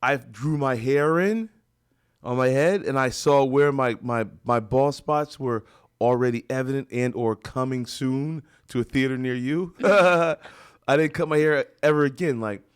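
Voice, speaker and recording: A man's voice: mid-range voice, a little slow, smooth. A man with an American accent hosting a casual radio interview, joking and talking in loose slang. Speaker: radio talk show host. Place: radio studio.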